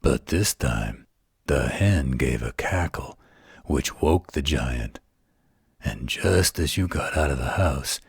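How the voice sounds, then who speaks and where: A man playing a gentle, protective werewolf in a bedtime roleplay audio, speaking in a gravelly, husky, soothing voice. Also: rumbling low, lively intonation, no echo, studio quality, heavily acted. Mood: soothing narrating. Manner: soothing, unhurried, tender.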